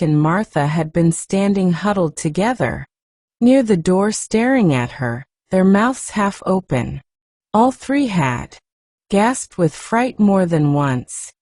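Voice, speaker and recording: A woman reading a classic children's novel aloud in a soft, gentle audiobook style. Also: no echo, clean studio sound. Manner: gentle, acts dialogue with raised pitch. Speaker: narrator.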